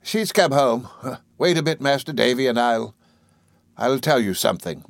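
A man's voice: medium pitch, expressive, moderate pace, gravelly, no room sound, studio quality, voice pushed up. A man voicing a kindly, flustered, motherly housekeeper in an audiobook, agitated, affectionate and faltering. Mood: flustered, hesitant. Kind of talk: audiobook character dialogue.

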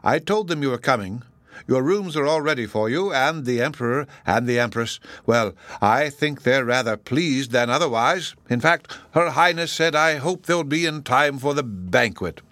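A man's voice: mid-range voice, animated, moderate pace, a bit bright, no echo, pitched above the performer's own voice, natural voice. A man voicing a cheery, chuckling, absent-minded old professor in an audiobook. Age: older. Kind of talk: audiobook character voice.

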